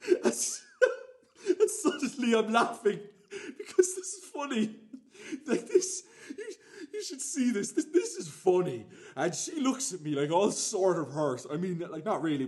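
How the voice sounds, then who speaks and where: An actor playing a troubled man delivering an intense, halting radio drama monologue full of repeated phrases. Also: high-pitched. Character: troubled man recounting laughter turning to anguish.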